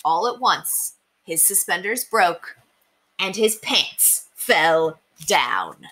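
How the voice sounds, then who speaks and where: A young woman reading children's stories aloud as an expressive storyteller. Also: lowish voice, extremely slow, poor audio. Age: young adult. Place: small indoor room.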